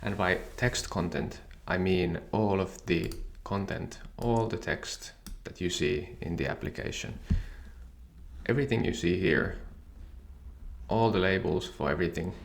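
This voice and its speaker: A man whose first language is Finnish, calmly and methodically walking through a software tutorial. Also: deep voice, measured pace, gravelly, a little hiss or hum. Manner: calm, methodical explaining. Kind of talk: screen-share software tutorial.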